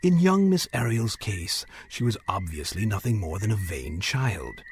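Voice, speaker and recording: Over clearly noisy ambience comes a sinister magician's mechanic who runs a carnival dark ride. He is courtly and mock-polite, with a theatrical delivery that swoops enormously in pitch, in the manner of an audio drama villain. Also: sing-song, unhurried. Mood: coldly soothing.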